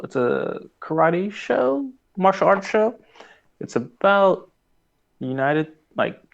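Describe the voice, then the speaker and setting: A slightly dull, lo-fi recording of a man hosting a casual podcast. His voice sits at an average pitch for a man. He talks in a rambling way and often corrects himself mid-thought. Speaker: podcast host.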